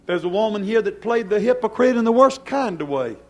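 A man preaching a fiery, stern sermon, accusing his congregation with emphatic repeated questions and swinging between hushed and loud delivery. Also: high voice, animated, a little fast. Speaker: preacher, church pastor. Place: church service before a congregation.

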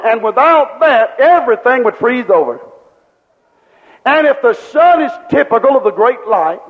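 A man preaching a sermon with fervent rhetorical questions and repeated refrains. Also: very high voice. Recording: dull lo-fi recording with long hall reverb.

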